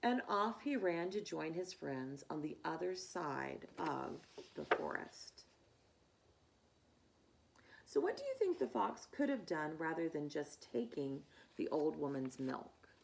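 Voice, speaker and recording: A woman storyteller reading a children's story aloud in an expressive, deliberate way, with teacherly asides. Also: low voice, creaky, a little hiss or hum, low-quality recording.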